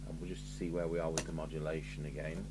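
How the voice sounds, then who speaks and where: A man's voice: very deep voice, fast. A man from the UK thinking aloud in a matter-of-fact way as he gives a hands-on workbench demonstration. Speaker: radio repair vlogger. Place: indoor radio repair bench. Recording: noisy, with steady hum.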